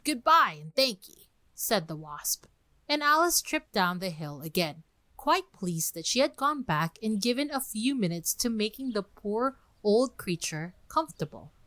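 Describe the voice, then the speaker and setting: Animated woman podcast host, dry recording. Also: mid-range voice, measured pace, no echo. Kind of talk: scripted narration and dramatic reading.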